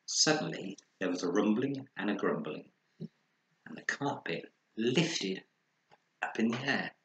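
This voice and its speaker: A man reading a children's story aloud expressively, with dramatic pauses and acted sound words. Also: on the low side, animated, a bit bright. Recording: roomy echo, faint background noise.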